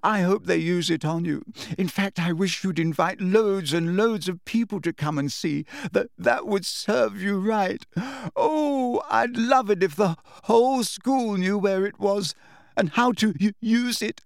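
A man's voice: big pitch swings, takes it slowly, no room sound, studio quality, full character voice. A man voicing a teenage girl who puts on a desperate, tearful confession, stammering and wailing. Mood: shrill, defiant sobbing. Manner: stammering, gulping, shrill wailing.

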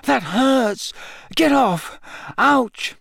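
A man's voice: high voice, sing-song, moderate pace, dry recording, studio quality, voice pushed up, heavily acted. A man voicing an anxious, bewildered boy wizard in an audiobook, breathless and incredulous. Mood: pained, struggling. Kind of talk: audiobook character acting.

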